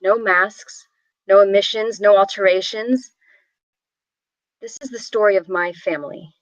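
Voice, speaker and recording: A woman's voice: medium pitch, fairly even intonation, unhurried, no room sound, close to an everyday voice. Woman acting haunted young narrator, dull lo-fi sound. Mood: reflective. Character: haunted young woman narrating her family's tragic story. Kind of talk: scripted voice-over at a table read.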